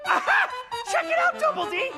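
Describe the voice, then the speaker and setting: A man voicing a scheming, hot-tempered cartoon boy with a brash, bossy, wisecracking delivery and a gravelly edge. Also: very high-pitched, very animated, moderate pace, full character voice. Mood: furious. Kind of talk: cartoon game voice acting. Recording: loud music behind.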